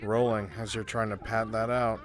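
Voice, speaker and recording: Low-voiced man running a roleplay game. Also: flat delivery, normal speed. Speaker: game master. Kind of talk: live improvised roleplay game narration. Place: voice chat in a virtual reality game.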